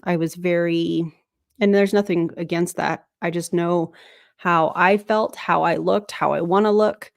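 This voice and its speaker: A woman's voice: fairly low pitch, fairly even intonation, a little slow, vocal fry, dry recording, studio quality. A woman hosting a casual commentary livestream, reacting to a clip in a blunt, skeptical and sometimes sarcastic way.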